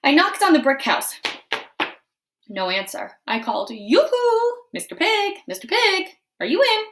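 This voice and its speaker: A woman's voice: very high-pitched, sing-song, unhurried, background noise, pitched above the performer's own voice, heavily acted. A woman voicing an aggrieved, self-excusing male wolf with a cold who tells his own side in a storybook read-aloud, chatty, exaggerated and indignant. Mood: chatty, calling out.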